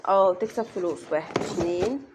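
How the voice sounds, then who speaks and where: A woman's voice: lowish voice, quick pace, background noise. A woman with an Egyptian accent chatting to viewers while unboxing snacks at home. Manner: chatty, addresses viewers directly. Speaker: vlogger mother. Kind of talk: casual unboxing vlog.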